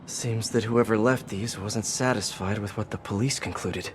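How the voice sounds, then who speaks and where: An actor playing an earnest young superhero detective in a video game, musing aloud with curiosity and worry over music. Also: male voice, lowish voice, fairly even intonation, moderate pace, slight background noise, natural voice. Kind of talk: video game voice acting, investigative monologue.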